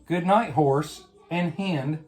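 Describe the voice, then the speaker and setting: A woman reading a picture book aloud to a young child, pausing to ask simple questions. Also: low voice, a little mellow, poor audio. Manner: simple questions and prompts addressed to a small child. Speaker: bedtime story reader.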